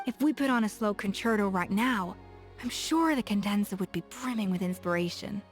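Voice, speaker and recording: A woman's voice: medium pitch, a little fast, natural voice. An actress playing a confident, playful young woman chef in a game, self-assured and curious, with faint music behind her. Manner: self-assured, teasing, lively. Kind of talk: game character voice lines.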